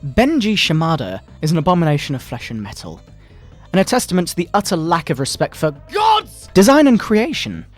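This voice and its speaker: Man putting on a pompous, high-pitched fire-and-brimstone moral crusader. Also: huge pitch swings, soft background music. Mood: scornful, righteous. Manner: pompous, melodramatic preaching with drawn-out words. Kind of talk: mock-dramatic satirical reading.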